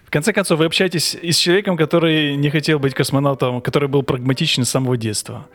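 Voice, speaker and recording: A man's voice: a little high, steady tone, quick pace. A man hosting a casual podcast interview, asking questions and teasing his co-host.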